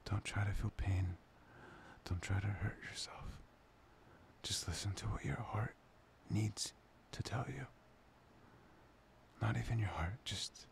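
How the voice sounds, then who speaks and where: A man playing a soft, loving boyfriend in an intimate ASMR roleplay, half-whispering breathily and soothingly up close to the mic. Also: on the low side, vocal fry. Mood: soothing, earnest. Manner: slow, pausing, soothing. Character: soft, playful, loving boyfriend, tender and reassuring. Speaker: audio roleplay performer. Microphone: close microphone.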